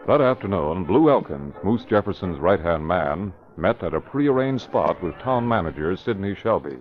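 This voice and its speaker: Deep-voiced old radio narrator. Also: male voice, animated, normal speed. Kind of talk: scripted radio drama narration. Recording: dull lo-fi old radio broadcast with music.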